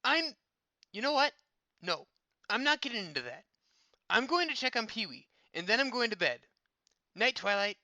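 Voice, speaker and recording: A woman's voice: a little high, sing-song, normal speed, pauses often, bright, forceful tone, dry recording. A woman voicing a cheeky, loyal young male sidekick in a dramatized story, wry, casual and easily exasperated.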